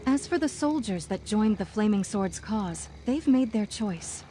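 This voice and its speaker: An actress playing an earnest, resolute mage leader in a video game, measured and authoritative. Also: female voice, medium pitch, lively intonation, mellow, slight background noise, natural voice. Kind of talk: video game character acting. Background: music.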